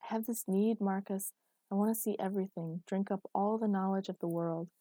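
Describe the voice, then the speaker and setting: A woman's voice: medium pitch, steady tone, brisk, dark tone, dry recording, close to an everyday voice. A woman voicing a headstrong, dreamy and defiant woman with naive candour in a dramatic story reading. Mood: yearning.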